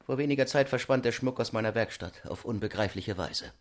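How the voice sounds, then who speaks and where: A man's voice: medium pitch, a little fast, pitched above the performer's own voice, natural voice. A man voicing a proud, eccentric master goldsmith of old Paris in a storybook reading, with haughty, formal, old-fashioned speech. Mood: proud, insistent.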